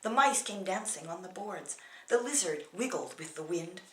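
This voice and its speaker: A woman in her sixties giving a dramatic poetry reading, theatrical, moving between emphatic bursts and hushed delivery. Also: lowish voice, animated, moderate pace, a little hiss or hum. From California, USA. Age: 60s. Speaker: poet reading own work. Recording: roomy echo, distant microphone.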